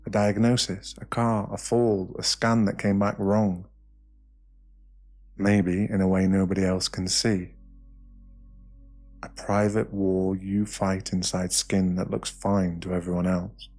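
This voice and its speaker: A British man narrating an ASMR guided meditation in a slow, hushed, soothing way, with short phrases and pauses. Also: deep voice, little pitch movement, soft background music.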